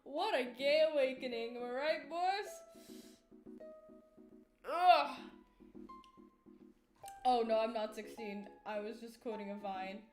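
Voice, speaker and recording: A woman's voice: fairly high pitch, slow pace, pauses often, darkish tone, roomy sound, faint music behind. A woman in her twenties chatting casually on a livestream while drawing, rambling, tired and yawning, swearing offhand and making self-mocking jokes. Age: 20s. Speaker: artist livestreamer.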